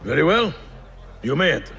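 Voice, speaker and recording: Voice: mid-range voice, very animated, normal speed, vocal fry, fairly bright tone, soft background music. An actor playing a curt, irritable gatekeeper, brusque in every phrase. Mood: annoyed. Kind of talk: game cutscene dialogue.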